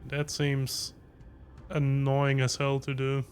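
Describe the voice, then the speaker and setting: A man's voice: medium pitch, completely flat delivery, slow pace. A man giving casual game reaction commentary in muttered, wry asides. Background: game music.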